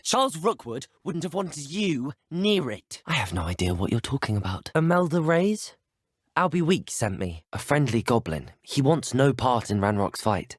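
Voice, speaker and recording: Casting: earnest teenage wizard hero, game dialogue; composed, articulate, very varied intonation, dry close sound. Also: male voice, medium pitch, dry recording. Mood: questioning, earnest. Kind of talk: video game character dialogue.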